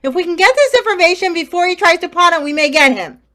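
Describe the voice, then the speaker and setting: A woman playing an earnest police inspector, brisk and emphatic, in an old-time radio crime drama. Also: extremely high pitch, animated, bright, forceful tone, full character voice. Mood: earnest, businesslike. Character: earnest police inspector and pleading robbery victim.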